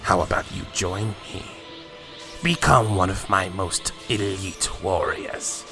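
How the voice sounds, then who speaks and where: A man playing a sinister, gloating villain who mocks and menaces in a fan-made anime drama, with music behind. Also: sits low. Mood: sinister persuasion. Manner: mocking, slow, menacing, chuckling. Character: gloating sinister villain possessing a father, cruelly taunting. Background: loud music.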